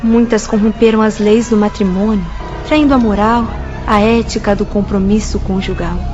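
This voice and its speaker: An actress playing a grave, moralizing nun who guides students, solemn and didactic in a radio drama style with a Brazilian accent. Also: female voice, medium pitch, steady tone, dull audio, over music, natural voice. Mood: stern, pitying. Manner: solemn, didactic, deliberate emphasis. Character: grave, moralizing nun guiding spirit students through asylum.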